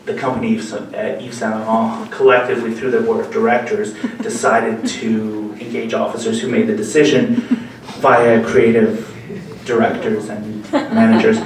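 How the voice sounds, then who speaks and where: A man's voice: fairly low pitch, moderate pace. A man giving a live talk as a lawyer, joking with the audience and often restarting his sentences. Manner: joking, animated, many restarts and fillers. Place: meeting room with live audience. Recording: echoey hall, mic far off, faint noise.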